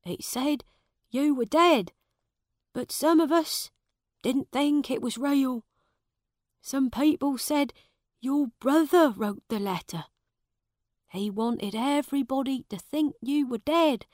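A woman's voice: high-pitched, slow pace, long pauses, no echo, clean studio sound, pitched above the performer's own voice. A woman voicing a small, worried old male servant in a storybook reading. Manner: anxious, fearful.

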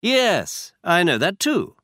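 A man reading a story aloud and voicing a calm, confident male detective who speaks in a brisk, assured, instructive way. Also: high voice, no room sound, clean studio sound, pitched above the performer's own voice, exaggerated voice.

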